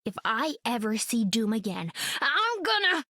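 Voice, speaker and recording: A woman's voice: on the high side, big pitch swings, unhurried, bright, forceful tone, no room sound, studio quality, exaggerated voice. A woman voicing a plucky, quirky young superhero in a video game, chirpy and casual. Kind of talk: video game character acting.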